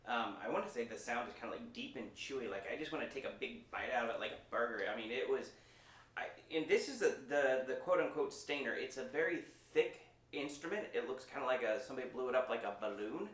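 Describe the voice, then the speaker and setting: A man's voice: medium pitch, animated, fast, a bit bright, slight background noise. Enthusiastic male host in an echoey room. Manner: enthusiastic, folksy, playful. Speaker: host of instrument demo. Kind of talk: casual presenting chat. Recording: roomy, echoing sound.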